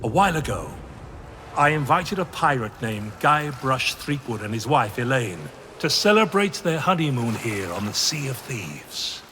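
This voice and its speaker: A man playing a solemn, mysterious pirate guide who tells a tale gravely in a video game cutscene. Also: medium pitch, very animated, pushed and bright, a little hiss or hum. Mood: grave, foreboding. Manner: measured, grave, portentous. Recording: storm and sea ambience behind.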